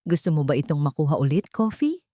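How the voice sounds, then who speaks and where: Audio drama avenger played by a woman, Filipino, bitterly mocking, lowish voice, dry close sound. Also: sing-song, fast, warm tone, no room sound. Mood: bitter mockery. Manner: taunting. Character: bitter, mocking avenger taunting a ruined man.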